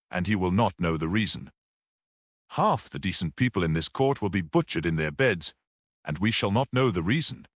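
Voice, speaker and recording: A man's voice: fairly low pitch, no echo, studio quality. A man voicing a passionate, vindictive male barrister in a storybook, with vibrating, emphatic courtroom oratory. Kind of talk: dramatic audiobook character reading.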